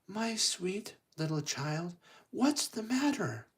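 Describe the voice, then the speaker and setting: A man's voice: high voice, big pitch swings, takes it slowly, pitched above the performer's own voice, full character voice. A man voicing a kindly, soothing fairy godmother for a storybook, with doting reassurance and gleeful sing-song spells. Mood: tender, soothing.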